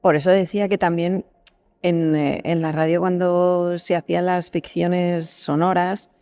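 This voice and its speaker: A woman's voice: on the low side, little pitch movement, a little slow, mellow. A woman with an accent from Spain, talking casually and expressively as a podcast guest, explaining things through examples. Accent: Spanish from Spain. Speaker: voice actress guest.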